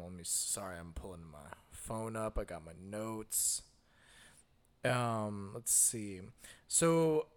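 A man hosting a podcast in laid-back, slangy casual chat, joking with his guest. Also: lowish voice. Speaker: podcast host.

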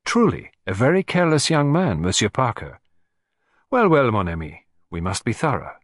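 Casting: polite, playful, precise foreign detective for audiobook mystery man acting, lilting pitch, dry studio sound. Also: big pitch swings, normal speed, dry recording. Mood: musing, amused. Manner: polite, precise, teasing, with French phrases. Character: shrewd, courteous, playful little foreign male detective.

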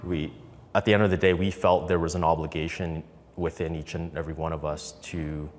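Podcast guest: man, thirties, low voice, conversational storyteller, long reverb. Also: flat delivery, a little fast, slight background noise. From Canada, raised in Calgary from infancy. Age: 30s. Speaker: podcast guest, business co-founder. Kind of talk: candid personal storytelling in an interview.

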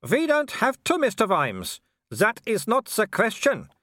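A man voicing a gloating male werewolf villain in an audiobook, taunting with drawn-out, mocking courtesy. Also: sits high, wildly swooping pitch, pushed and bright, no echo, clean studio sound, pitched above the performer's own voice, exaggerated voice. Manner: taunting, drawn-out mock politeness.

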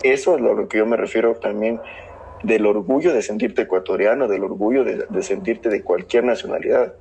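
A man's voice: lowish voice, steady tone, a little fast, creaky, a little mellow, breathy edge, rough recording. A young man from Ecuador with a southern Ecuadorian Spanish accent, a guest in a casual podcast conversation who explains earnestly with many examples. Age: young adult. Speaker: guest economist.

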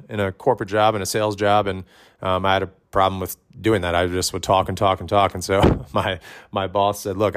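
A man in his thirties who coaches fitness classes, talking casually and loudly to new coaches, with lots of fillers. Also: fairly low pitch. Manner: conversational, many fillers, says his voice is loud. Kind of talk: instructional podcast talk.

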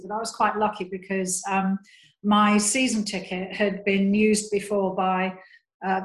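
A woman tells light, amused stories about being a fan, laughing now and then between thoughts. Her voice sits in the middle range, and the room gives it a noticeable echo. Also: medium pitch. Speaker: fan guest. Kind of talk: casual anecdote.

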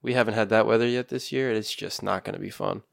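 A man's voice: on the low side, steady tone, fast talker, creaky, no echo, studio quality. A man co-hosting a casual podcast, wry and self-deprecating, with a cold coming on. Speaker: podcast co-host. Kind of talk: casual chat.

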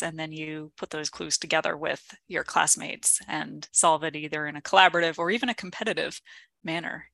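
A woman co-hosts a conversational podcast in a bright, forward voice that sits slightly low. The sound is dry and close, and she phrases her thoughts in an articulate, considered way. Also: no room sound. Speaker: podcast co-host. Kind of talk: conversational podcast discussion of teaching.

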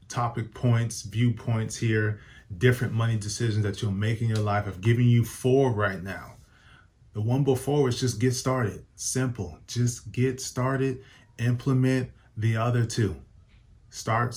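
A man's voice: lowish voice, darkish tone. A man hosting a personal finance show, giving casual advice in an upbeat, emphatic way and often repeating or correcting himself. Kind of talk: casual advice talk to camera.

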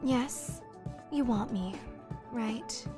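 A woman acting in an audio drama as a menacing mermaid, cool and aloof, with a formal, deadpan delivery. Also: fairly high pitch, drawn-out words, over music. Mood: coolly seductive.

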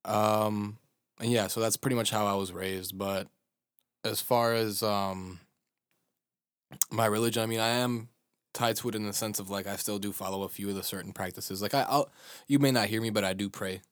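A man's voice: lowish voice, steady tone, normal speed, no room sound, clean studio sound. A man from Chicago with an American accent, chatting casually and joking, with lots of "like" as filler. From Chicago, USA. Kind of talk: casual chat.

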